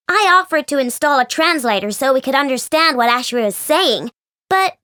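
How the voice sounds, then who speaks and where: A woman voicing an excitable, chatty young female inventor who builds robots. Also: high voice, no echo, clean studio sound, full character voice. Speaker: game character voice. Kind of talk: recorded game voice lines.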